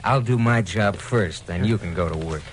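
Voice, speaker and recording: Actor as menacing, slick radio crook, noisy recording. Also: male voice, on the low side, normal speed, lightly acted. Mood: sneering threat. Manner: smoothly coercive, sneering at his victim. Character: menacing, slick insurance-fraud crook, male. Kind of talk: old-time radio crime drama acting.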